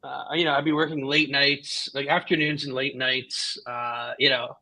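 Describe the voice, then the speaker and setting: Bright, rambling man co-host. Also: mid-range voice, level tone, normal speed, low-quality recording. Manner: rambling, full of "like" and "you know". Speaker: podcast co-host. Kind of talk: casual chat.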